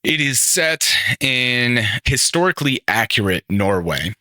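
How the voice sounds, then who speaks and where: A man's voice: mid-range voice, big pitch swings, unhurried, pushed and bright, dry recording. A young man hosting a gaming podcast alone, rambling and enthusiastic, often correcting himself as he talks through the games. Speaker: podcast host. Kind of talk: solo casual gaming podcast commentary.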